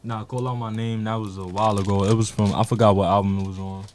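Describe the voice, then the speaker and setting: A man in casual podcast chat, quick to give strong opinions and swearing freely. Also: on the low side, level tone, darkish tone, a little hiss or hum.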